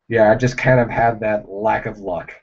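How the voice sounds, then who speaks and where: A young man chatting casually on a livestream, answering viewers in a rambling, halting way with many fillers. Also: lowish voice. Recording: dull lo-fi livestream audio.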